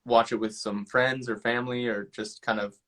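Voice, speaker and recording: A young adult man hosting a casual live chat, relaxed and affirming as he talks. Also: mid-range voice, normal speed. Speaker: host.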